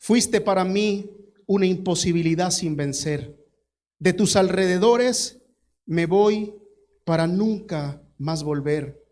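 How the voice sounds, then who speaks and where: A man's voice: high-pitched, slow, a little mellow. A man voicing a desperate foreign man who pleads and laments at a palace gate, in a sermon story. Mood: mournful farewell.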